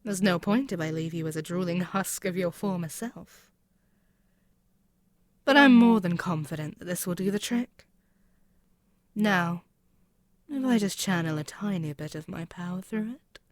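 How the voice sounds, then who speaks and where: A woman's voice: fairly low pitch, a little slow, pauses often, no echo, lightly acted. A woman playing a haughty, scheming demon queen in an audio roleplay, taunting and menacing in a theatrical way. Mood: gloating, sinister. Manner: haughty, taunting, theatrical, dropping at times to near-whisper. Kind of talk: scripted ASMR audio roleplay, dramatic monologue.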